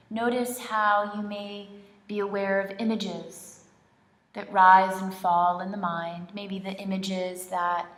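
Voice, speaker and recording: Female meditation instructor, very slow and gentle delivery, long pauses, echoey studio. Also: mid-range voice. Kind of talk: slow guided relaxation meditation, soothing instruction. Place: indoor studio, leading a group in person. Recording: roomy, echoing studio sound.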